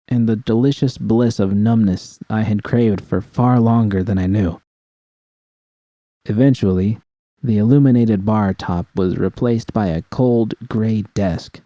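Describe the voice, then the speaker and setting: A man's voice: lowish voice, mellow, dry recording. A man narrating scripted first-person fiction as an audiobook reader.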